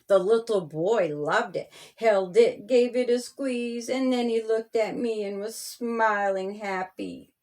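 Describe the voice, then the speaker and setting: A woman reading a children's storybook aloud as its author, in a measured, sing-song rhyming delivery. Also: fairly high pitch, a little mellow.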